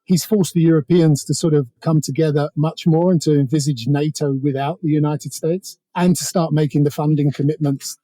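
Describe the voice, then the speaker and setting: A British man talks through politics as an interview guest. His voice sits a little high yet is mellow and dark in tone. He wanders conversationally through asides, in a dry recording. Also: dry recording. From Britain. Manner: discursive, hedging with many asides.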